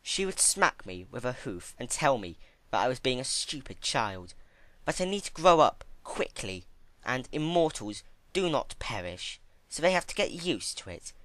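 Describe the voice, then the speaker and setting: A man's voice: medium pitch, sing-song, a bit bright, dry recording. A man voicing an ancient, unhinged female pony princess who recalls her torments in a theatrical, chatty way, in a dramatic story reading. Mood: bitterly chatty. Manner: theatrical, chatty about horrors, with drawn-out emphatic words. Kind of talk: dramatic fan fiction reading.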